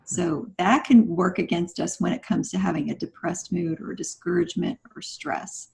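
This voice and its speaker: A woman speaking as a podcast guest writer, explaining things in an articulate, measured, conversational way. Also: fairly low pitch, steady tone, vocal fry, darkish tone, rough recording.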